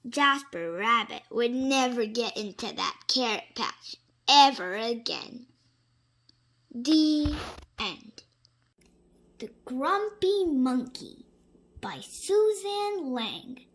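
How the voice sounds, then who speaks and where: Very animated woman reading a bedtime story. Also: sits high. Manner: animated, dramatic, with suspenseful pauses. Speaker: storybook reader. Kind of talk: expressive read-aloud of children's bedtime stories.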